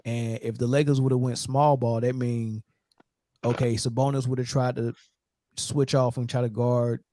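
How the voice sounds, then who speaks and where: A man's voice: mid-range voice, little pitch movement, normal speed, mellow, no echo, clean studio sound. A man hosting a casual live sports fan talk, teasing and trash-talking as he goes.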